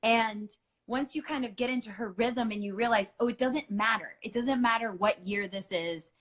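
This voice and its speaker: A woman's voice: a little high, moderate pace, a bit bright. A middle-aged woman hosting a book club, talking in a chatty, playful way with lots of fillers. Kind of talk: casual chat.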